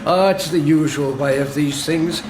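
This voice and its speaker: A man's voice: a little high, steady tone, normal speed, reverberant, background noise, close to an everyday voice. An actor playing a deferential working-class handyman and electrical engineer in a radio drama, chatty and proud of his work. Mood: proud, friendly. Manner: deferential, chatty, says "Mum".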